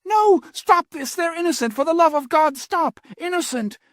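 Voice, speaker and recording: A man voicing a terrified young male apprentice, screaming high and strained as he begs. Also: expressive, normal speed, no echo, clean studio sound. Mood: desperate.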